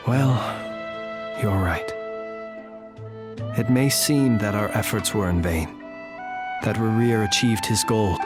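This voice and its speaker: Melancholy gentleman lantern keeper for a fantasy game, male actor: lowish voice, formal and measured, music clearly behind. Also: natural voice. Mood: resolute, calm. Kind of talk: scripted game drama, eulogy-like.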